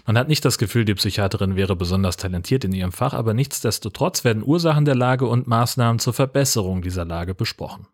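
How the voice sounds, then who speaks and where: A man's voice: lowish voice, animated, quick pace, hardly any pauses. A man co-hosting a film podcast with an informal, conversational style. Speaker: podcast co-host. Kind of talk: casual film podcast chat.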